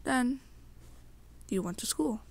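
A young adult woman softly narrating a second-person ASMR story in short, halting phrases. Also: fairly high pitch, lively intonation, creaky.

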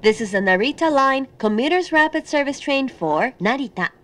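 A woman reading scripted, pre-recorded train announcements with measured, clearly enunciated delivery. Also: high-pitched, expressive, a bit bright, slight background noise.

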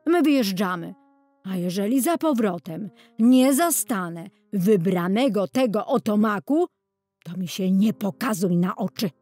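A woman's voice: mid-range voice, animated, measured pace, warm tone, dry recording, faint music behind. A woman voicing a cruel, bossy stepmother in a fairy tale, stern and scolding. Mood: scornful, threatening.

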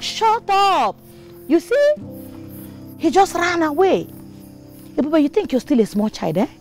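A woman's voice: very high voice, very animated, a little slow, a little mellow, soft background music, exaggerated voice. An actress playing a proud, outspoken young woman mechanic, with a Nigerian accent and an assertive manner that slips into Pidgin. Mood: scandalized, scolding. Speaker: actor in a film drama.

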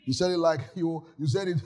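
A middle-aged man preaching a sermon in a church auditorium, animated, with shouts and laughter, on a headset mic. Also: a little high, moderate pace. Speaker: pastor.

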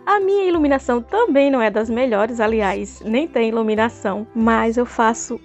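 A woman vlogger, chatting to viewers while touring a park at night, her voice a bit hoarse, recorded on a phone. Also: fairly high pitch, moderate pace, soft background music. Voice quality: hoarse at times. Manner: chatty, addresses viewers directly. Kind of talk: casual vlog tour narration. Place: outdoor city park at night. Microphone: phone camera at arm's length.

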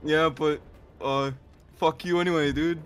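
A man's voice: a little high, steady tone, measured pace, soft background music, natural voice. A man voicing a stubborn, bumbling game character in a casual, hesitant, improvised fan dub.

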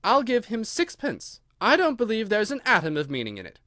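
A man's voice: very high voice, lively intonation, moderate pace, fairly bright tone, dry recording, studio quality, pitched above the performer's own voice. A man voicing a bold, outspoken young girl in a storybook, blunt and defiant.

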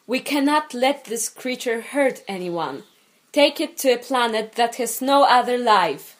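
Woman as commanding alien leader, firm, slightly high. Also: lively intonation, a little slow. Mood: stern. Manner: firm and authoritative.

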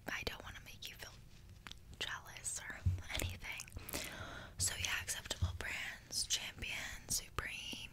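Young woman playing a smug whispering hype beast. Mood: smug, bragging. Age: young adult. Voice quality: soft breathy whisper. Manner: casual, bragging, filler-heavy drawl. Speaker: ASMR creator. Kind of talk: ASMR roleplay, whispered. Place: small bedroom, indoors. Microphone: close desk microphone.

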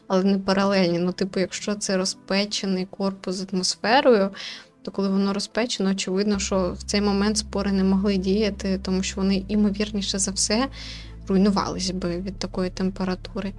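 A woman's voice: mid-range voice, steady tone, brisk, darkish tone. A woman hosting a casual let's play, commenting informally and playfully as she nitpicks. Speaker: gaming host, player commenting. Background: game music.

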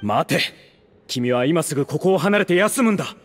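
A steady adult mercenary acted by a man talks firmly and bluntly in anime game style. His mid-pitched voice moves expressively, with reverb and faint music around it. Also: moderate pace, natural voice. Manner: firm, direct, informal.

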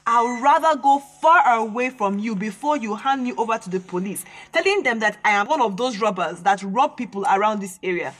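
An actress playing an indignant young student with a Nigerian accent, sharp-tongued and confrontational in a radio drama. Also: female voice, fairly high pitch, lively intonation, fairly quick, hardly any pauses. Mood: scornful. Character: feisty, indignant young female student standing her ground.

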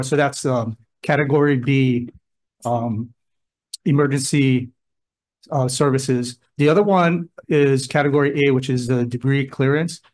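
A man's voice: mid-range voice, darkish tone. A man speaking as a state emergency official at a legislative hearing, explaining plans in a plain, conversational way. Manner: plain-spoken, conversational, gives examples. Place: hearing room.

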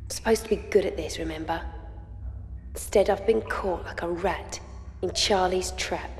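Woman playing young British thief, slightly low voice, downbeat, dry delivery, long reverb, game cutscene. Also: normal speed, a little mellow, faint music behind, lightly acted. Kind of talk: video game cutscene acting.

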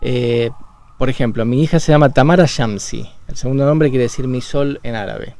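A man from Buenos Aires with a Rioplatense Argentine accent, a guest on a radio interview, explaining things in a measured way with frequent pauses and filler sounds, recorded in a radio studio. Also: mid-range voice, a little mellow. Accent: Argentine Rioplatense Spanish.

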